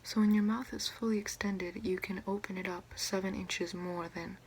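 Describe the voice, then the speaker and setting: A young woman whispering slowly and deliberately in an ASMR roleplay as a doctor, breathy and close to the mic. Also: mid-range voice, steady tone, a little mellow, slight background noise. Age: young adult. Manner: slow, deliberate, repetitive counting and instructions. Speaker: ASMR performer role-playing a doctor giving a check-up. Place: small room at home, indoors. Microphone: close to the camera or mic, seated.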